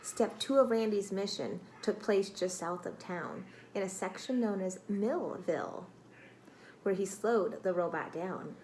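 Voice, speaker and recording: Expressive woman reading to children, noisy background. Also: mid-range voice, a little slow. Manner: expressive, dramatic, with exclamations and prompting questions. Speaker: teacher reading aloud to children. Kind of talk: read-aloud storytelling with questions to young listeners.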